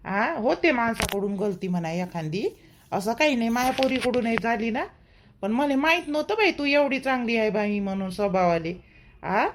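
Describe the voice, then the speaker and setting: A woman's voice: fairly high pitch, animated, moderate pace, slight background noise, close to an everyday voice. A woman playing a protective middle-aged village mother-in-law in a cartoon, chatty and emphatic, with a Vidarbha Marathi accent. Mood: confiding. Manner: chatty, emphatic, repeats herself. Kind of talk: homely cartoon drama dialogue.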